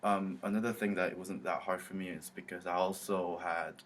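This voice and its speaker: A young man from South Korea, an international student, speaking in an interview with many pauses and restarts. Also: deep voice, fairly even intonation. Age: young adult. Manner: hesitant, many ums and restarts. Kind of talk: interview testimony.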